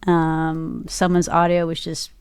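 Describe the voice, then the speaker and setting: A woman's voice: low voice, monotone, slow pace, darkish tone, smooth, no room sound. A woman chatting casually as an interview guest, speaking into a podcast microphone. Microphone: dynamic podcast mic in a production room.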